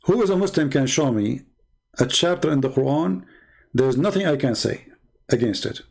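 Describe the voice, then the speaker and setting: Gravelly-voiced man, native Arabic speaker. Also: medium pitch, animated, normal speed, darkish tone. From Middle East. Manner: emphatic, repetitive, rhetorical questions to viewers. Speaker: live stream host. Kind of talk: live-stream religious lecture.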